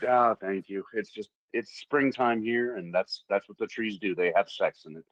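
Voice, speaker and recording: A man joining a live voice chat, stuffed up from allergies and breathy, talking in an easy, joking way. His voice sits very low. The call audio is dull and muffled, with a dry, close sound. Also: moderate pace, no room sound. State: congested from allergies. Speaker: caller in a live discussion.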